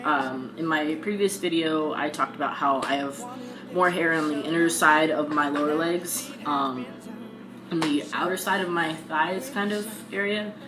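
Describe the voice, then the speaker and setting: A young man talking casually in a video diary, pausing often and filling gaps with "um". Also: a little high, poor audio. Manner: halting, frequent ums. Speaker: vlogger. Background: guitar music.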